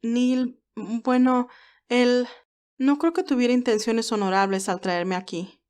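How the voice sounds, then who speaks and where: A woman's voice: a little high, slow pace, darkish tone, pitched above the performer's own voice. Woman acting a girlish, emphatic, feisty young nurse. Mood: upset, tearful. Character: Candy, sweet, feisty young nurse, easily moved and teasing.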